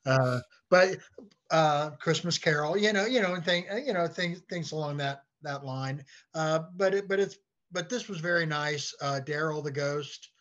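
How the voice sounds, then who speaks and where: A man chatting casually as a podcast guest, halting and often repeating his words. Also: a little high, fairly bright tone. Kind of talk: casual chat. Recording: dull, lo-fi audio.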